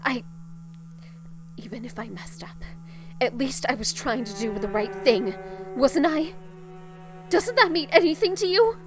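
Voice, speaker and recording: An actress playing a young rookie superhero, grieving and defensive, in a halting, emotional audio drama performance. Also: female voice, high-pitched, lively intonation. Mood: anguished, pleading. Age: young adult. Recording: music behind the voice.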